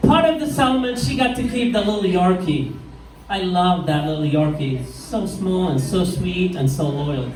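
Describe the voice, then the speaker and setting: A middle-aged man from Iraq with an Iraqi accent doing a stand-up set in a pub, delivering deadpan one-liners with slow punchlines. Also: high-pitched, noisy background. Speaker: stand-up comedian. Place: pub stage with live crowd. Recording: echoey room, mic heard from far off.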